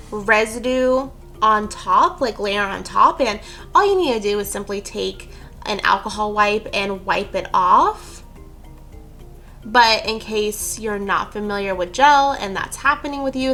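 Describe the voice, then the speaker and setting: A woman's voice: fairly high pitch, sharp tone. A woman hosting a nail art tutorial, talking through each step in a chatty, rambling way with easygoing asides about herself. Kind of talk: casual how-to narration.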